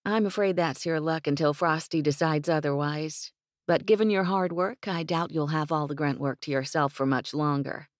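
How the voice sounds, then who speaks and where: A woman's voice: deep voice, moderate pace, dry recording, studio quality, lightly acted. A woman voicing a spirited young ranch woman with a teasing, bossy drawl, read in an audiobook. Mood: playful.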